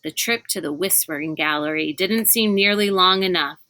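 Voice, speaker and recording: A woman's voice: fairly low pitch, moderate pace, pushed and bright, no echo. A woman reading a children's novel aloud as scripted narration. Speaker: storybook reader.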